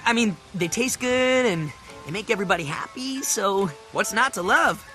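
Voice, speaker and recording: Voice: mid-range voice, expressive, moderate pace, poor audio. An actor voicing a timid, earnest, bumbling small male creature in a video game, with an American accent and a hesitant, nervous way of talking. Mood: shy, pleased. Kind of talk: cartoonish video game character acting. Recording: loud game music behind. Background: game music.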